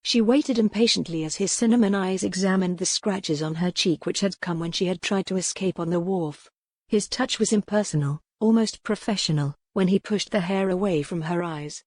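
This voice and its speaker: A woman's voice: fairly low pitch, moderate pace, a little mellow, dry recording. A woman reading a historical novel as scripted, dramatic audiobook narration.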